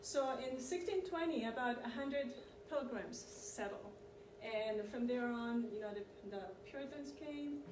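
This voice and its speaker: A middle-aged woman, speaking a little higher than most women, walks an audience through history in a loose, conversational way and keeps checking in with them. She is picked up from across an echoey meeting room. Steady background noise sits under her voice. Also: unhurried, few pauses, far from the mic. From Chile. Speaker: community presenter on immigration history. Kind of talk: informal lecture to an audience.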